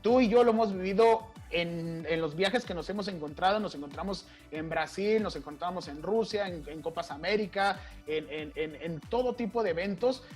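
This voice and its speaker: A man's voice: high-pitched, moderate pace, hardly any pauses, faint music behind. A man hosting an interview, explaining at length before he asks his question. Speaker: host, interviewer.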